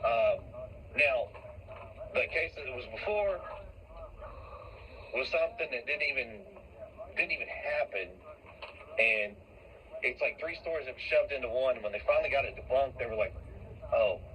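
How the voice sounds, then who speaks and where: A man being interviewed, telling anecdotes casually in a folksy, halting way with repeated words. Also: mid-range voice, expressive, sharp tone. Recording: noisy played-back online interview clip.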